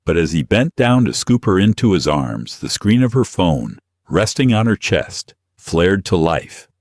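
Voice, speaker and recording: A man's voice: low-pitched, very animated, measured pace, darkish tone, no echo, clean studio sound. A man reading a dramatic scripted audio story as its narrator.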